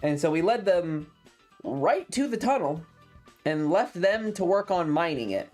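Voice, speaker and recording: A mid-pitched streamer of androgynous voice chats casually, swearing freely and retelling things with sardonic, acted-out dialogue. Video game music plays behind the voice. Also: very animated, unhurried, a little mellow. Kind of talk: casual storytelling to stream chat.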